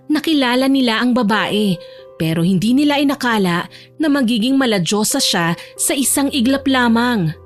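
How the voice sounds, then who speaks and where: A woman reading scripted romantic story narration as the narrator of an audio drama. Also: medium pitch. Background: soft music.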